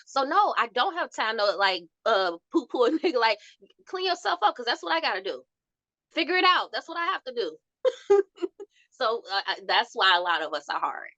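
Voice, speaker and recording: Soft-voiced woman with a bright, dry tone. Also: mid-range voice, no echo. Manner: animated storytelling with laughs and acted-out quotes. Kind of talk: candid podcast conversation.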